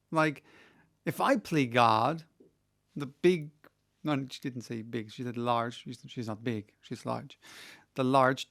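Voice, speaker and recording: A middle-aged man casually telling a story, rambling with many restarts and getting animated when he retells a conversation. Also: medium pitch, creaky. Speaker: audiobook reader answering questions. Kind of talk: casual monologue.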